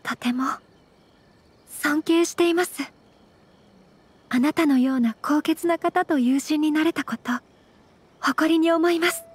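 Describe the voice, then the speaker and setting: A woman playing a refined, gentle young noblewoman in an anime game, speaking formally, courteously and earnestly. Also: sits high, measured pace, pauses often. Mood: earnest, admiring. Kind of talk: anime game character acting.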